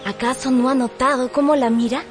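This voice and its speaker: An actress playing a frank, knowing maidservant in a radio drama, speaking in an eager, insinuating way. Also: female voice, sits high, fairly even intonation, fairly quick, music in the background, lightly acted. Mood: knowing, teasing.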